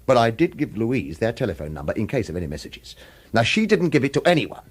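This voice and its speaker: An actor playing a wily, world-weary hired killer in a radio thriller, with wry, informal delivery. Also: male voice, mid-range voice, very animated, fast talker, husky. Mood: scheming, persuasive. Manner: wry, informal, urgent persuasion.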